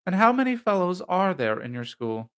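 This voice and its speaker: A witty young woman in an audiobook, played by a male narrator. The voice is lifted in pitch, light and arch, with a polite, teasing manner. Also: very animated, moderate pace, smooth. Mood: courteous. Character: playful, teasing, courteous young woman of quick wit.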